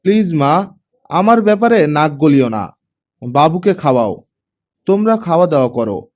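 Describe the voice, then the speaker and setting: A man's voice: fairly high pitch, sing-song, moderate pace, a little mellow. Man voicing a weary, curt young mother. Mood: irritated. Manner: curt, stubborn.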